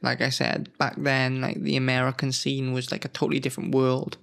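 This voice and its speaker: Unwell man with a gravelly, fry-laden voice, mid-pitched, leafing through old magazines and rambling to camera with plenty of self-corrections. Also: completely flat delivery, fairly quick, a bit bright. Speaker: gaming channel host. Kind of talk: casual nostalgic commentary.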